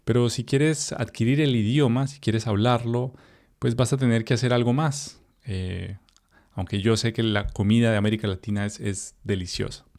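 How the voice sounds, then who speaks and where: Mellow-voiced Colombian man teaching Spanish. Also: medium pitch, normal speed, vocal fry. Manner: relaxed, chatty, with filler sounds and asides to the viewer. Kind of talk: reading a text for learners.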